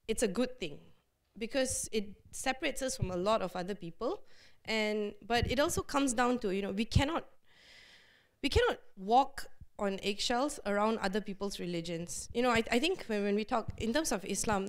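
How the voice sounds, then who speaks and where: A woman's voice: mid-range voice, animated, normal speed. A woman from Malaysia, speaking frankly and with animation on a discussion panel, laughing often and restarting her sentences.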